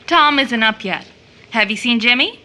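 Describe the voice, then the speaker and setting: A woman playing a doting, playful young woman who teases and gently corrects in an audio drama. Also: a little high, animated, slow, background noise. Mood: amused, chiding. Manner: teasing, gently correcting, fond. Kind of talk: stage play audio drama acting.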